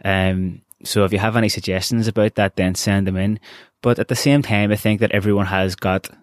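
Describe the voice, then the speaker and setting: A young Irish man hosting a podcast, chatting in a relaxed, rambling way. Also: lowish voice, brisk, dry recording, studio quality. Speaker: podcast host. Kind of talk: casual podcast chat.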